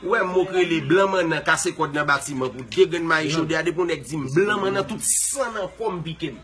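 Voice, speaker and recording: A man's voice: fairly high pitch, fairly quick, crowd noise. A man talking casually and loosely in an interview.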